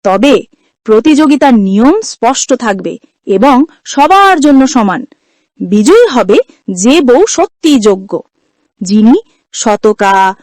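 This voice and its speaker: A woman's voice: a little high, darkish tone, dry recording. A woman voicing an authoritative, fair-minded older mother-in-law in a cartoon story, firm and instructive.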